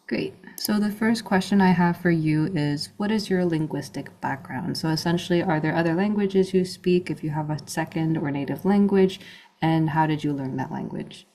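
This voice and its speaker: A young woman asking prepared interview questions clearly, as if reading from a script. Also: low voice, normal speed, warm tone. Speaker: student interviewer.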